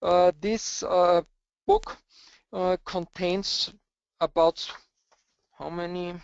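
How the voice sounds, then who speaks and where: A man's voice: high-pitched, steady tone, drawn-out words, creaky, clear, resonant tone, no room sound. A man with an Austrian German accent, answering webinar questions as a presenter, hesitant with many filler sounds. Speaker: presenter, cluster architect. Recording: dull lo-fi webinar audio, dry.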